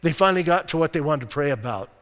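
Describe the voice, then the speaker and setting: Male pastor, sermon, somewhat high voice, conversational and emphatic, slow deliberate scripture reading, church hall echo. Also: animated, a bit bright. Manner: conversational, emphatic, with rhetorical questions; reads scripture slowly and deliberately. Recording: reverberant hall sound.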